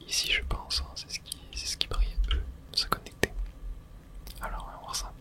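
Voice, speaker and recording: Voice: moderate pace, sharp tone. Whispered ASMR gaming commentary, barely voiced. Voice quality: breathy, unvoiced whisper. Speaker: gaming video host. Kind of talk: whispered ASMR video game playthrough.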